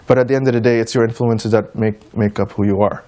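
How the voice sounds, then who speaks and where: A man's voice: medium pitch, fairly even intonation, fast talker, warm tone. A man from the United States answers interview questions in an informal, anecdotal way, as a musician and teacher speaking conversationally. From United States, of Trinidadian and Guyanese descent. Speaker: interview guest, tabla player and teacher.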